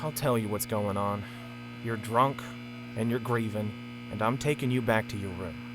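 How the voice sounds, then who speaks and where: An actor playing an earnest, loyal working stallion and parent in an audio drama, reasoning patiently. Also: male voice, fairly low pitch, normal speed, heavy background noise, natural voice. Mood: weary, firm.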